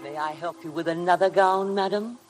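A woman's voice: on the low side, a little fast, music in the background, lightly acted. An actress playing a sinister, obsessive housekeeper who goads her mistress with servile, hypnotic menace in a 1950s radio drama. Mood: icy formality. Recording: noisy old 1950 radio broadcast recording.

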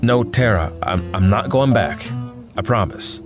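A man's voice: lowish voice, measured pace, over music, natural voice. A man acting in an audio drama as a weary but wry young superhero student, talking hesitantly with self-deprecating humour. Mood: weary, troubled.

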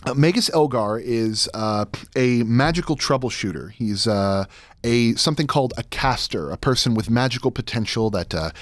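A man in his thirties chatting casually as an interview guest, with halting, rambling explanations, close on a studio microphone. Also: mid-range voice, dry recording. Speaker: guest, editor and sound designer. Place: indoor podcast studio. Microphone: close studio microphone on a boom.

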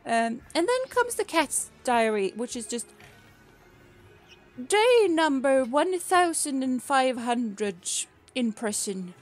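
A woman's voice: sits high, sing-song, takes it slowly, soft background music. A young woman from Sweden with a light Swedish accent, chatting casually with her chat while she plays a game, laughing often and restarting her words. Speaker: streamer and teacher. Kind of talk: casual gaming stream chat.

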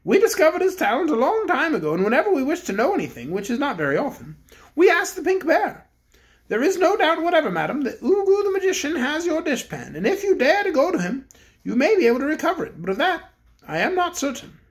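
A woman voicing a stately, kindly male bear king who speaks in a measured, courtly way. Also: high-pitched, sing-song, pitched above the performer's own voice.

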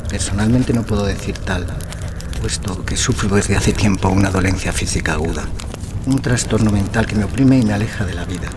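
An actor playing an ailing, tormented gentleman in a Gothic audio drama, speaking in a formal, solemn, literary manner. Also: lowish voice, brisk, heavy background noise, close to an everyday voice. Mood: anguished, weary. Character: ailing, tormented gentleman writing to his childhood friend. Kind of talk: Gothic radio drama acting.

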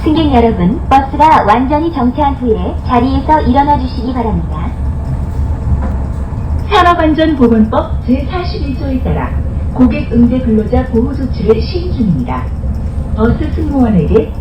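A woman's voice: high-pitched, big pitch swings, normal speed. A woman reading scripted bus announcements in a polite, formal manner. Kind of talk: scripted public transit announcement. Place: played over speakers inside a bus. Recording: roomy, muffled, distant, loud bus noise. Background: loud bus ambience and vehicle noise.

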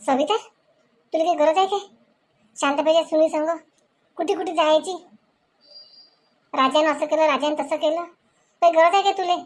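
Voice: high-pitched, fairly even intonation, moderate pace, long pauses, lightly acted. A performer voicing a stern, domineering village husband and father-in-law in a comedy cartoon, with a Varhadi Marathi accent, repeating questions as demands. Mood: scolding. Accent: Varhadi Marathi from Vidarbha.

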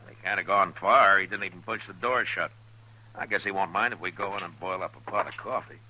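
An actor playing a calm, steady frontier lawman in a western radio drama, terse and plainspoken. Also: deep voice, brisk. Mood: puzzled, watchful. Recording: noisy 1950s radio recording.

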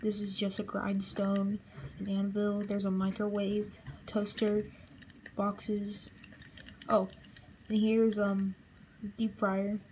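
Young woman, casual game tour, unhurried, monotone, relaxed fillers. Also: medium pitch, slight background noise, poor audio. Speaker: channel host.

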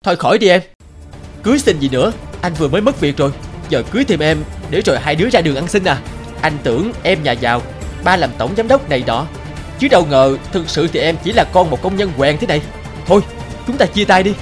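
Over light music, a scheming young cartoon office worker. His delivery is whiny and theatrical, swinging widely in pitch as he chases easy money. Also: very animated, fast talker. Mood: bitter, annoyed. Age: young adult. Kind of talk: cartoon voice acting.

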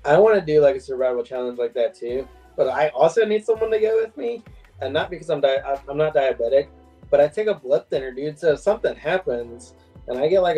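A man's voice: mid-range voice, dark tone, slightly rough, dull audio. A man chatting casually as a guest over a video call from a room at home. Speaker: guest co-host.

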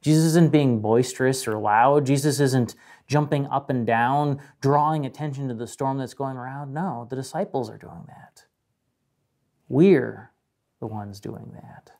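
Mellow-voiced pastor preaching with dramatic pauses. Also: male voice, mid-range voice. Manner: measured, dramatic pauses and emphasis. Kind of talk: sermon. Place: indoor room with a painted mural, speaking to camera.

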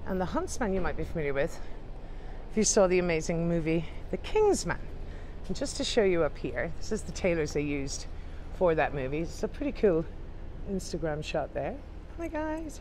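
A woman strolls the streets as a tour guide, upbeat and chatty. Her voice sits a little low, picked up on a handheld camera. Faint traffic hums in the background. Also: darkish tone. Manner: chatty and upbeat, addresses viewers as ladies and gents. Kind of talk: walking tour narration to camera. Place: outdoors on city streets.

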